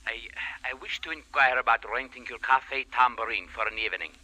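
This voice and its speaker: A jittery, courteous caller in a vintage radio play. His voice wavers and hesitates, mid-pitched and extremely bright and tense. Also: brisk, poor audio. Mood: nervous. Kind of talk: 1940s-50s radio drama acting.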